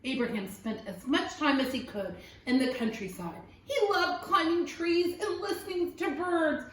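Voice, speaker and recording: A woman teacher reading a picture book aloud to young children, expressive and pausing to question and point things out. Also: high-pitched, distant mic, slight background noise. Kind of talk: animated read-aloud storytelling for young children. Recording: roomy, echoey room sound.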